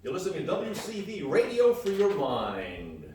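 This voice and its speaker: A man's voice: high-pitched, wildly swooping pitch, moderate pace. A man co-hosting a live comedy radio show on stage, reading scripted mock announcements. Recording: echoey hall stage, distant mic.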